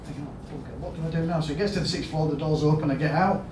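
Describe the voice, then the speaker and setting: A man with a Yorkshire accent giving a storytelling conference talk as a health service chief executive, asking the audience questions and rising to loud emphatic bursts. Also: on the high side, fairly even intonation, very fast. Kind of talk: storytelling keynote speech. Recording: echoey hall, mic at a distance, background noise.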